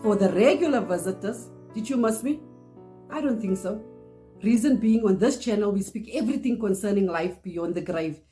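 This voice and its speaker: A middle-aged woman from South Africa, Afrikaans-speaking, with a South African accent, telling a personal story in earnest, pausing often. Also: mid-range voice, dark tone, faint music behind. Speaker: channel host. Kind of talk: personal testimony to camera.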